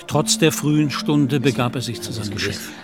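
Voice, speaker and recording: A man's voice: mid-range voice, moderate pace. A man narrating a German radio play in a scripted, literary storytelling style. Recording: music behind.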